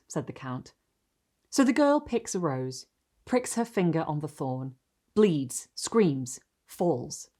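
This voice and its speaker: Female literary narrator, lowish pitch, highly varied intonation, theatrical and deliberate. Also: husky. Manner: expressive, theatrical, deliberate phrasing. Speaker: host and reader of a literary video series. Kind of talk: dramatic storytelling, scripted narration.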